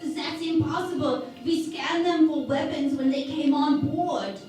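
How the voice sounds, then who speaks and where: A woman as an earnest, high-voiced starship officer. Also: unhurried, warm tone, faint music behind. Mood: concerned. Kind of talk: parody sci-fi radio play acting. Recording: echoey, distant, background noise.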